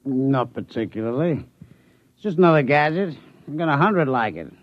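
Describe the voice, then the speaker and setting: A man's voice: medium pitch, animated, moderate pace, close to an everyday voice. A man playing a jaded, lazy, hard-drinking sportsman, droll and world-weary, in a 1950s radio comedy. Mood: bored, dismissive. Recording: dull vintage radio recording.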